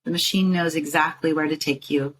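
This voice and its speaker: A woman with a low-pitched voice leads a guided meditation. She speaks soothingly, coaxing the listener along in short phrases with pauses between them. Some background ambient noise sits behind her voice. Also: steady tone, poor audio. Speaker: meditation guide and show host.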